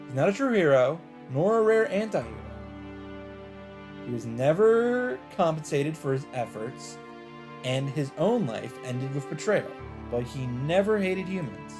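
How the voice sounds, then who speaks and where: A man reading a visual novel script aloud in an expressive narrating style. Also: on the high side, unhurried. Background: game music.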